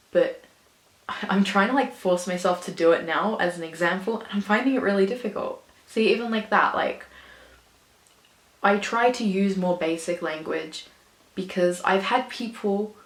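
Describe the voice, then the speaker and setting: A woman with an Australian accent, talking to camera in a rambling, hesitant, self-conscious way, full of fillers and second thoughts. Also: medium pitch. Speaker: vlogger. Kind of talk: reflective personal monologue. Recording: echoey room.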